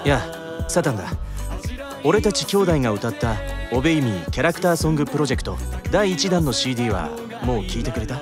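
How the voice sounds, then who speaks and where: An actor playing a composed, bookish demon brother in a scripted promotional message, speaking intimately to the listener. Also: male voice, mid-range voice, big pitch swings, fast, natural voice. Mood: composed, friendly. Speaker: character promoting a song CD. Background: music.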